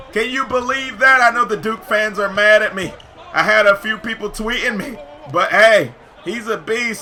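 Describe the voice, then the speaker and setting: A man giving excited, slangy live commentary over basketball video game play, shouting at big plays, his voice getting hoarse. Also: very high-pitched. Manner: slangy, says "yo" often. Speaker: gaming YouTuber.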